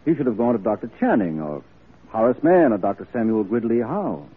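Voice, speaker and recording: Casting: a protective, affectionate lawyer friend; husky, expressive pitch, hesitant delivery, muffled vintage radio. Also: male voice, a little fast. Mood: fond, teasing. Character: fond, practical gentleman lawyer, gently protective friend. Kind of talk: classic radio drama acting. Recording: muffled old radio drama recording.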